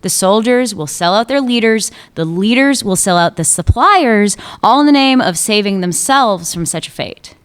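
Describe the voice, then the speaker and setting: A woman's voice: medium pitch, animated, clear, resonant tone, natural voice. A woman playing a cool, tenacious police chief. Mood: probing. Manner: cool, probing, measured. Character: shrewd, tenacious female police chief, ex-lover. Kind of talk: radio play acting.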